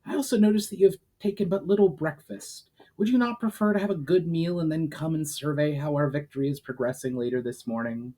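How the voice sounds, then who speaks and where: A man voicing an agitated young officer, higher-pitched. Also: a little fast, hardly any pauses, warm tone, natural voice. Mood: anxious, deferential. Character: agitated, deferential young army officer, eager to please.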